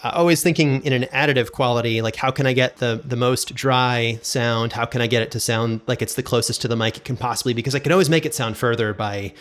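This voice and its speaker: A man's voice: medium pitch, fast, hardly any pauses, a bit bright. A man speaking as an interview guest, explaining technical things in a casual, conversational way. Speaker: podcast guest, audio drama producer and sound designer.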